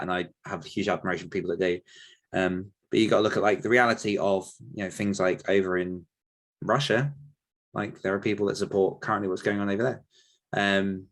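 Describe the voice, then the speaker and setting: A man hosting a podcast discussion, speaking casually in a reflective, careful, diplomatic way. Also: deep voice. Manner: measured, diplomatic, hedging. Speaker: podcast host.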